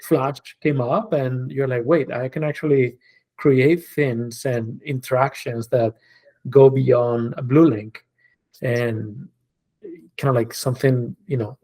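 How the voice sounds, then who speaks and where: A man in his forties who grew up in Spain speaks English as a second language, easygoing and reflective. His thoughts wander with frequent fillers and restarts, and his voice sits at an ordinary middle pitch. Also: a little mellow. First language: Spanish. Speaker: guest, designer and creative director. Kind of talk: casual podcast conversation.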